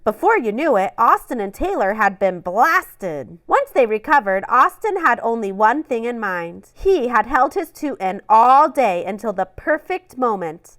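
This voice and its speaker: A woman's voice: a little high, a bit bright. A woman hosting a children's read-aloud, narrating a storybook expressively with dramatic emphasis.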